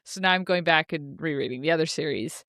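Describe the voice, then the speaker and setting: Low-pitched woman, playful book chat. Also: fast, a bit bright, dry recording, studio quality. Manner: playful, jokey. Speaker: podcast co-host. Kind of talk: casual book discussion chat.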